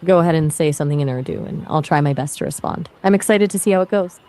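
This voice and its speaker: A woman's voice: low-pitched, fast talker, a little mellow. An AI voice assistant with a woman's American-accented voice, chatting in an upbeat, encouraging way. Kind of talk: conversational assistant chat.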